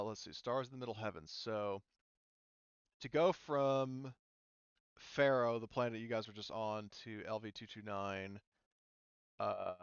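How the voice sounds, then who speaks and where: A man narrating a tabletop roleplaying game casually as game master, haltingly thinking aloud as he goes. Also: fairly low pitch, smooth, no echo, dull audio. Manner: halting, thinking aloud while checking rules.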